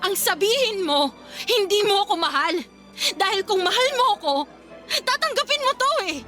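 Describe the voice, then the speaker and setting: A woman's voice: extremely high pitch, lively intonation, normal speed, bright, forceful tone, full character voice. An actress playing an emotional, pleading young pregnant girlfriend who stands her ground in a Filipino radio drama. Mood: anguished. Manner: emotional, confrontational. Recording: music bed under the voice.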